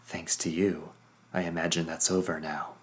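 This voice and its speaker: A man's voice: sits low, level tone, normal speed, natural voice. A man voicing a cold, haughty, antlered spirit woman who speaks in clipped remarks.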